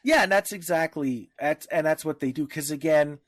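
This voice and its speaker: A man's voice: a little high, moderate pace, no echo. Blunt, irreverent man in a dry recording. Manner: blunt, irreverent, freely profane. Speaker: podcast co-host. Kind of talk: casual podcast discussion.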